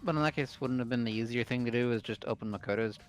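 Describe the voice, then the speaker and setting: A man's voice: medium pitch, rapid-fire, soft background music. A man in a casual group discussion, arguing his reasoning as a game player.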